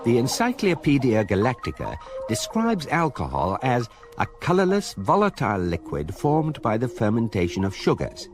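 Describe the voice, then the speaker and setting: Over prominent music, a wry British man narrating a galactic guidebook in a radio comedy. His delivery is measured and deadpan, yet swoops widely in pitch for each precise phrase. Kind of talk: scripted comic radio drama narration. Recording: radio production with music behind.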